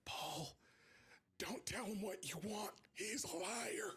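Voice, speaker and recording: A man playing a naive, excitable grown man raised as an elf in a live comedy table read, earnest and blunt. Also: high-pitched, slow pace, slightly rough, exaggerated voice. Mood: accusing.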